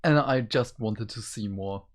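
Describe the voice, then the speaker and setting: A man's voice: on the low side, unhurried. A man co-hosting a review show, talking casually with his partner.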